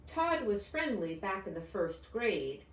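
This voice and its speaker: A woman's voice: mid-range voice, sing-song, takes it slowly, slight background noise. A woman voicing eager, friendly schoolchildren in a storybook, speaking in earnest, simple declarations. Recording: roomy echo, distant microphone.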